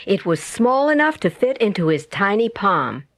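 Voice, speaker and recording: A woman narrating a children's bedtime story as a storyteller, with expressive, dramatic and carefully phrased delivery. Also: medium pitch, moderate pace, dry recording, studio quality. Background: music.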